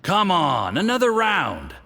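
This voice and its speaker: A man's voice: high voice, wildly swooping pitch, takes it slowly, bright, forceful tone, long reverb tail, heavily acted. A man voicing a smug, jovial, scheming agent in a video game, suave and condescending. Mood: jovial, teasing.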